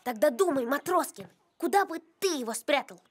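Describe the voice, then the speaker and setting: A woman voicing a calm, sensible young farm boy in a cartoon, with a gentle, thoughtful, measured manner. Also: high-pitched. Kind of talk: animated cartoon voice acting.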